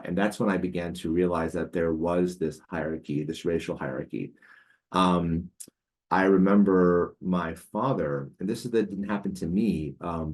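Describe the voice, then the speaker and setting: A man's voice: deep voice, a little mellow. A man in his forties, a podcast guest, telling a personal story in a casual, hesitant way with many fillers and restarts. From United States.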